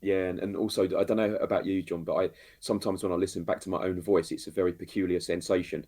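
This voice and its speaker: A man's voice: sits low, fairly even intonation, fast, darkish tone. A man joining a livestream as a guest, friendly and generous with praise. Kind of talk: casual livestream chat.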